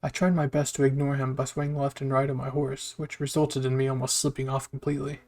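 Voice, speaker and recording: A man's voice: mid-range voice, completely flat delivery, fairly quick, darkish tone. A man reading a scripted first-person story aloud as a narrator. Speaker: fan fiction narrator.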